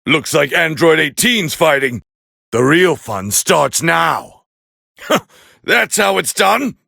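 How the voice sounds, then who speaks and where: A man voicing a proud, scornful alien warrior as a fighting game commentator, gravelly and haughty in an English anime dub style. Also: fairly high pitch, sing-song, takes it slowly, no room sound, studio quality, exaggerated voice. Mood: commanding, disdainful. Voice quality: gravelly, raspy. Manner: haughty, forceful, clipped exclamations.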